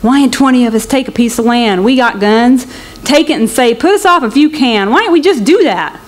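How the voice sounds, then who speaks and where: A woman's voice: on the high side, lively intonation, a little fast, pitched above the performer's own voice, lightly acted. A woman voicing a desperate, hungry male migrant farmer in a literary reading, with folksy, pleading, impassioned rural speech. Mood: agitated, defiant. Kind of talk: storybook character in a literary reading.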